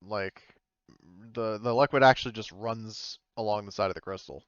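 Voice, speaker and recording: A man acting as game master of a tabletop roleplaying game, improvising casually with halting explanations. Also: lowish voice, fairly bright tone, clear, resonant tone, no echo, highs cut off.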